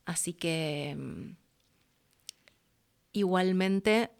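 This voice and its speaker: Low-pitched Argentine woman, conversational. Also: very slow, creaky. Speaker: interview guest, voice actress and producer. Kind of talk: casual conversational interview.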